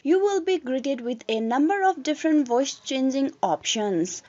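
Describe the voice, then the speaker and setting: Higher-pitched woman narrating a how-to tutorial. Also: darkish tone. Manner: instructional, step-by-step. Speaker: tutorial host. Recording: dull lo-fi voice-over.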